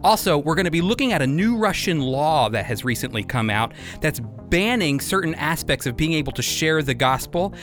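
A man's voice: a little high, very animated, fairly quick, hardly any pauses, a bit bright, faint music behind. An American man hosting and interviewing on a radio program, speaking as a presenter. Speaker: radio show host.

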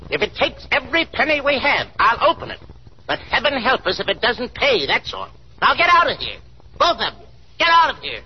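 On a dull 1930s radio recording, a sickly, stubborn middle-aged father. His husky voice leaps up and down dramatically. He grumbles and blusters like a man backed into a corner. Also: very high voice, wildly swooping pitch, fairly quick, heavily acted. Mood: angry, defeated.

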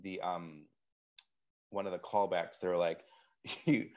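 A man in his forties from Wisconsin, a guest telling stories in casual conversation, with a deep voice and a chatty manner full of "like" and "um". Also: slow pace, dull audio. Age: 40s. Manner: animated storyteller, frequent "like" and "um".

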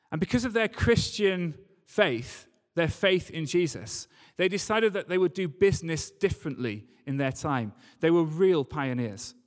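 A man giving a sermon in a conversational, storytelling way, with emphatic repetition, recorded live in a large church hall. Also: on the high side. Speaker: preacher. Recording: live talk in a reverberant hall.

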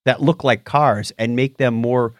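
A man hosting a podcast, chatting casually about news in a halting, conversational, mildly skeptical way. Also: medium pitch, fairly even intonation, no room sound, clean studio sound. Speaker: podcast host. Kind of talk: casual tech news chat.

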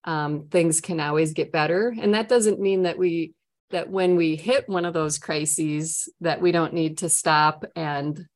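A woman's voice: sits low, steady tone, measured pace. A middle-aged woman with a Minnesotan accent talks conversationally as an interview guest, candid and chatty with rambling asides. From Minnesota, United States. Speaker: trainer and coach for families, interview guest.

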